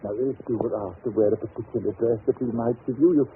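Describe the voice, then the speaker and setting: A man playing a jovial, wheedling country gentleman in a 1930s radio drama, with florid, stammering, effusive speech. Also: mid-range voice, fairly even intonation, fast, close to an everyday voice. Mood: jovial, coaxing. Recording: tinny, hissy old 1930s radio recording.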